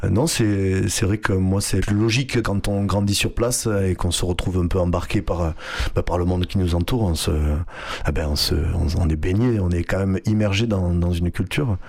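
Low-voiced hesitant man being interviewed. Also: steady tone, moderate pace, a little mellow. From France (Hérault, Occitanie). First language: Flemish. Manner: hesitant, many fillers and restarts. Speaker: artistic director, guest. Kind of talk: radio interview.

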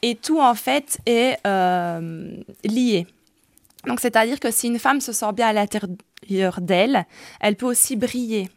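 A woman's voice: fairly high pitch, unhurried, no room sound, clean studio sound. A woman in her forties, a hairdresser and salon director, speaking earnestly as a guest on a radio interview, with frequent hesitations. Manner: earnest, hesitant with many "euh".

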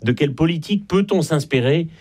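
A man's voice: on the high side, animated, brisk, darkish tone. A man with a Belgian French accent, speaking as an expert guest in a radio interview, in an articulate, structured and emphatic way.

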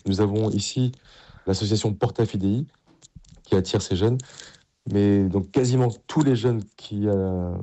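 A man's voice: lowish voice, dark tone. A middle-aged man, a priest answering interview questions in an earnest, enthusiastic, conversational way. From Paris, France.

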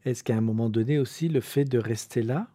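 A man's voice: medium pitch, brisk, dark tone. A man from France interviewing people and narrating a documentary with thoughtful, hesitant questions.

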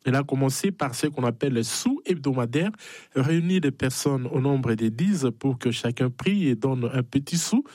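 A man's voice: medium pitch, animated, normal speed, mellow, no echo, studio quality. A man from the Democratic Republic of the Congo with a Congolese French accent, speaking as a missionary priest in a conversational interview, hesitant and often adding small affirming tags. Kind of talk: conversational interview, personal testimony.

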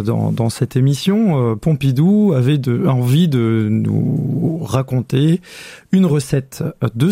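A man hosting a radio show in a studio, easygoing as he steers his guests along. His voice is gravelly and mellow, and the sound is dry and close. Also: medium pitch, no room sound. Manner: easygoing, guiding with short prompts. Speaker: radio host. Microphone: studio microphone.

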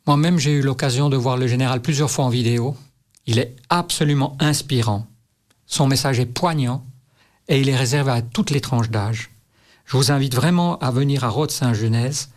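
A man speaking earnestly as a radio guest, inviting listeners warmly to an event. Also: mid-range voice, moderate pace. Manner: earnest, promotional. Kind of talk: radio interview.

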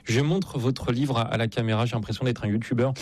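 A man's voice: medium pitch, steady tone, fairly quick, a little mellow. A man hosting a live radio interview, chatting casually and often repeating words as he searches for the next one. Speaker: radio show host and interviewer. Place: radio studio. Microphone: studio microphone.

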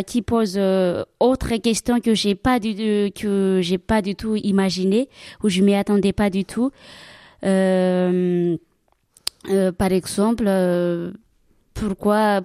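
A woman's voice: medium pitch, warm tone, no echo, studio quality. A woman from Madagascar whose first language is Malagasy, a religious sister answering interview questions in a hesitant way with many fillers.

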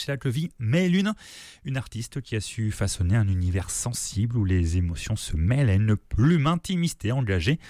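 A male radio presenter reads his links smoothly, his pitch rising and falling in an animated way. The studio sound is dry and close, with no echo. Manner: smooth, polished link delivery. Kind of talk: scripted radio announcing.

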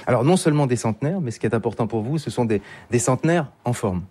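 A man's voice: mid-range voice, animated, quick pace, a little mellow, husky. A male radio host leading an interview and framing his questions in a conversational, filler-heavy way.

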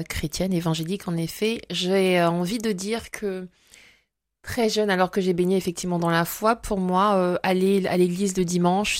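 A woman in her forties from Congo-Brazzaville, a guest telling her faith story in an interview and pausing often with fillers and restarts. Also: mid-range voice, darkish tone, dry recording. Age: 40s. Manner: hesitant, many fillers and restarts. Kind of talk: testimony interview.

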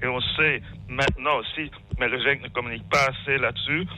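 A man's voice: mid-range voice, fairly even intonation, a little fast, narrow, tinny audio. A man, rambling hesitantly and repeating words as he phones in to a radio show. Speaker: phone-in caller. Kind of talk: spontaneous radio call-in remarks. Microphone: telephone call.

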